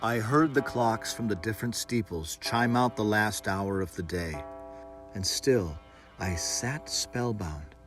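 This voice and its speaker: A man narrating a first-person gothic horror story in a measured, theatrical, expressive manner. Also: lowish voice. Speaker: audiobook narrator. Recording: music under the voice. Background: music.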